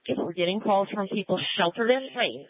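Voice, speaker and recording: A police incident commander giving measured orders and updates over a radio. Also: mid-range voice, expressive, dry recording. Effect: police radio transmission. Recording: tinny narrow-band police scanner audio.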